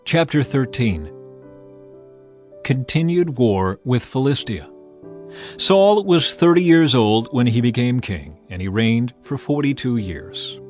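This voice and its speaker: A man narrating scripture in a measured, grave storytelling manner. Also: mid-range voice.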